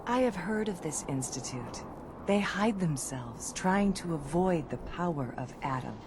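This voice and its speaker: A woman's voice: low-pitched, very noisy. A woman playing a solemn, cryptic priestess of a cult in a video game, speaking formally and reverently. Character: solemn female cult priestess guarding holy ground.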